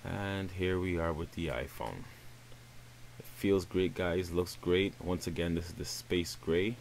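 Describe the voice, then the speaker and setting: A man with a very low-pitched voice walks viewers through a phone unboxing in a relaxed, upbeat tone. He calls his audience "guys" and speaks close to the mic. A faint hum sits under his voice. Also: unhurried. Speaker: tech reviewer. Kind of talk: casual unboxing voice-over.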